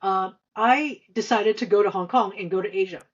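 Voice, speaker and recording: A woman in her fifties answering interview questions conversationally, with halting, hesitant speech full of stammered restarts. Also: medium pitch, muffled recording. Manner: halting, hesitant delivery with frequent stammered repeats and long filler runs. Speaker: podcast guest, senior finance executive.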